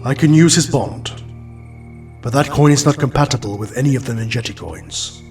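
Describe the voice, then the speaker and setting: A man playing an ancient, solemn alien sage in an audio drama, speaking in a measured, deliberate way. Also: mid-range voice, darkish tone, soft background music, lightly acted. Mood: resolved, explaining.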